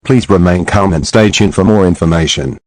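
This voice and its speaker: A man reading a government emergency alert in a stiff, even official delivery. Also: low voice, fairly quick, dry recording, studio quality.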